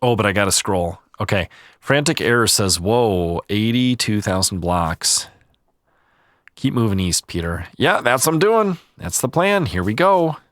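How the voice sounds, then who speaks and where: A man hosting a relaxed gaming video, chatting calmly and reading viewer comments, a little stuffy-nosed. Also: on the low side, measured pace, no room sound, studio quality. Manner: calm, easygoing, chatty. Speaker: gaming channel host.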